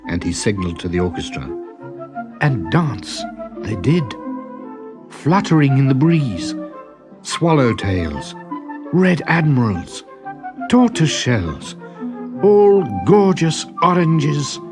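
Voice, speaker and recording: A man narrating a children's story in a dramatic, deliberate way, with expressive swells and hushes. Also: mid-range voice. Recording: music behind the voice.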